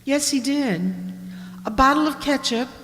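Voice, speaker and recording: A woman's voice: high-pitched, measured pace, fairly bright tone, slight background noise. A woman playing a reserved, hesitant housewife in an amateur radio play. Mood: polite, reserved. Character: reticent, faintly troubled housewife shopping for groceries. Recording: echoey hall, long reverb.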